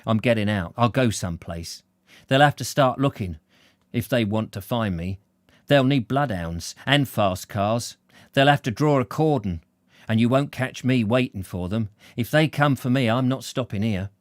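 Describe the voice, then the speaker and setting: Nervy young tough played by a male reader, blustering and defiant in storybook narration, mid-pitched, dry sound. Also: normal speed, dry recording, lightly acted. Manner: agitated, clipped, blustering slangy talk. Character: nervy, defiant young man on the run, muttering threats.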